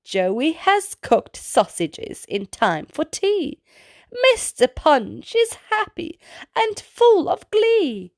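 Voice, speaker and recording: A woman reading a children's picture book aloud as a storytime host, in an expressive way with exclaimed sound-effect words. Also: very high voice, no room sound, clean studio sound. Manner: slow, deliberate delivery for young children.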